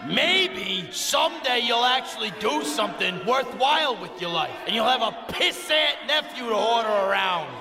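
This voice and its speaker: A crude, cranky uncle acted by a man in a stage radio comedy, with a very high-pitched voice that swings widely. He blusters and bosses in coarse complaints, heard in a big echoing hall. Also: lively intonation, normal speed, creaky, few pauses, a crowd in the background, exaggerated voice. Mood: irritated, bossy. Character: crude, cranky, overbearing uncle bossing his nephew. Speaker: actor in an audio comedy drama. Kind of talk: live comedic radio theatre acting. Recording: echoey hall with live audience.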